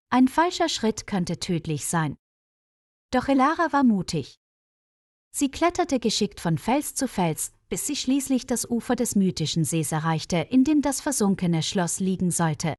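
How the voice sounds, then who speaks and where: Female storyteller for scripted fairy-tale narration, mid-range pitch, very dry recording. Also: darkish tone, dry recording.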